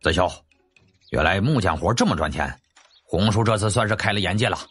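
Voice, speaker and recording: A blunt middle-aged uncle from a warrior clan, voiced by a male storyteller. He is gruff and grumbling, with a lowish, husky voice suited to historical audiobook drama. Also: animated, fairly quick, fairly bright tone, soft background music, full character voice. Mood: admiring.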